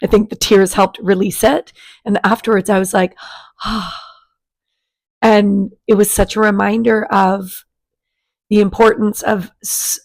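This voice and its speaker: A woman, raspy after a sinus virus, giving a casual spiritual energy forecast to her channel in a gentle, chatty way. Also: medium pitch, flat delivery, measured pace, pauses often. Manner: gentle, chatty, with many fillers and restarts. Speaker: spiritual coach and channel host.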